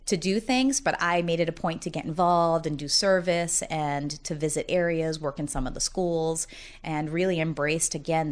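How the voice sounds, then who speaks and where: A low-pitched woman in her forties talks with easy, upbeat energy. She chats like a college dean at ease in an interview, telling stories at length and often laughing as she talks. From United States, Massachusetts. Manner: talkative, upbeat storyteller who often laughs while talking. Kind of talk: casual interview conversation.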